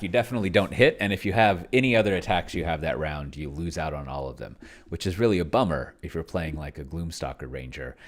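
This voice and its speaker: A man giving a casual, unscripted commentary on his own tabletop game session, rambling and hesitant as he thinks aloud and trails off mid-thought. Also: deep voice. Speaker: solo tabletop roleplayer and host, narrating own play session.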